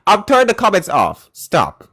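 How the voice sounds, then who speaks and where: Brief: male debate-stream host, lively swooping voice, dry close sound, pushy interrogating questions. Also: high-pitched, normal speed, slightly rough, no room sound. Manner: lively, shouts and giggles at chat. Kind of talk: live call-in debate.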